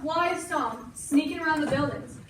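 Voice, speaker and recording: A woman's voice: high voice, moderate pace, background noise, close to an everyday voice. A woman acting a curious, gossipy frontier sister in an amateur stage play. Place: indoor school stage. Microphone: distant audience camera. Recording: echoey school stage from far off.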